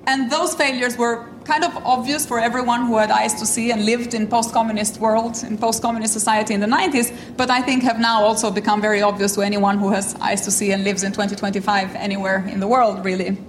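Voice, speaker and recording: A middle-aged woman from Albania whose first language is French, giving a measured, reflective academic lecture with touches of self-deprecating humor. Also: on the high side, steady tone, distant mic, a little hiss or hum. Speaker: invited speaker. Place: lecture room. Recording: echoey room with faint ambience.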